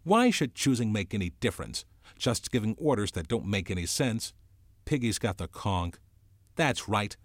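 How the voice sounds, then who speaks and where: A man plays a storybook boy bully, contemptuous and aggressive. He sneers and shouts with big swings in pitch, raised above normal speech, very dry and close. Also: big pitch swings, moderate pace, dry recording. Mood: bitter mockery.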